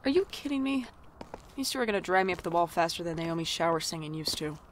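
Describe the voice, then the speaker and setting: A woman's voice: on the low side, animated, fast talker, a little hiss or hum, close to an everyday voice. A woman playing an anxious, sarcastic young shop worker in an audio drama, with wry, exasperated narration and dialogue. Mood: exasperated, startled. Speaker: podcast drama lead and narrator.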